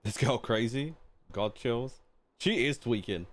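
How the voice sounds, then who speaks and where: A man's voice: medium pitch, a little slow. A man doing casual live game commentary as a streamer, informal, slangy and playful.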